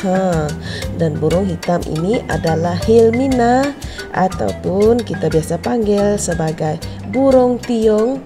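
A woman with a Malaysian accent narrating a vlog for children in a deliberate, simply explanatory manner. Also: medium pitch, lively intonation, music in the background. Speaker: vlogger and narrator. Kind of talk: voice-over narration of a wildlife park visit for children.

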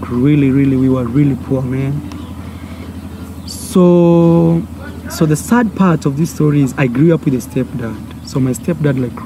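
A young man from Kenya with a Kenyan accent, a native Swahili speaker, telling a personal story to camera outdoors and acting out the voices. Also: on the high side. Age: young adult. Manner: animated, acts out voices and gestures. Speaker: vlogger. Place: outdoors by a brick wall. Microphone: phone or camera held close. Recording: outdoor noise, village sounds.

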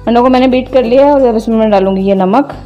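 Woman talking you through a recipe in her kitchen, mellow low-key voice, soft music behind. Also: quick pace, highs cut off. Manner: calm, methodical, names each step as she works. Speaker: cooking channel host. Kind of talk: step-by-step cooking instructions. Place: home kitchen.

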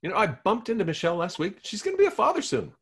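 A man reads a hapless romantic lead aloud, sheepish and self-pitying in his comic timing. His voice is husky and his pitch leaps all over the place. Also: wildly swooping pitch, rapid-fire. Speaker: actor reading the lead. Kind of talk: table read acting.

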